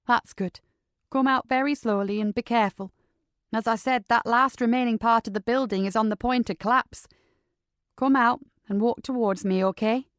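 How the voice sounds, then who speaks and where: A woman's voice: on the high side, moderate pace, a bit bright, dry recording, close to an everyday voice. A woman voicing a female police inspector, firm but coaxing, in an audiobook. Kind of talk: audiobook character voice.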